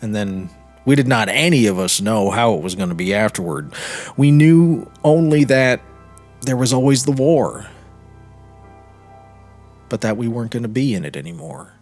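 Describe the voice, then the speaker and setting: A man narrating a literary short story in a measured, dramatic reading with deliberate pauses. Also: mid-range voice, animated, a little mellow. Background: music.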